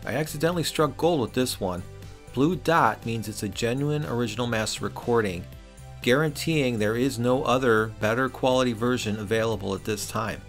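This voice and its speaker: A man's voice: mid-range voice, moderate pace, soft background music. A middle-aged man reads a scripted product review voice-over in a wry, opinionated way. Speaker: reviewer and host.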